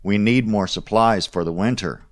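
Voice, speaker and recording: A man's voice: fairly low pitch, normal speed, close to an everyday voice. An actor playing an older male boss and mentor, authoritative and fatherly, in a post-apocalyptic audio drama. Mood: commanding, fatherly.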